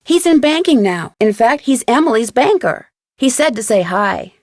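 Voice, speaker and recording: A woman's voice: fairly high pitch, big pitch swings, moderate pace, a little mellow, no echo, studio quality. An actress playing a curious, determined young woman detective and dutiful daughter in a video game, polite and earnest. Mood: matter-of-fact. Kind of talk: scripted game voice acting.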